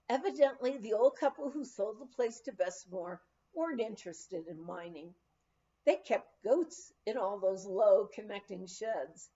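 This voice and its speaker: Slow, high-voiced woman reading a memoir. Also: warm tone, dull audio. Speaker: audiobook reader. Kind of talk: scripted memoir narration.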